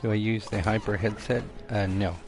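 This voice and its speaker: A man's voice: normal speed, noisy background. A young man hosting a casual live game stream over a headset, reading viewer comments aloud and answering them in an easygoing, repetitive way. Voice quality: deep voice. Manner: relaxed, chatty, repeats phrases, answers chat as he plays. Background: game music.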